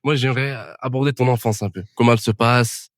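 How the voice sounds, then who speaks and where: Fast-talking enthusiastic podcast host. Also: male voice, medium pitch, dry recording, clean studio sound. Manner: quick, enthusiastic interjections and teasing questions. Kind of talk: casual podcast interview.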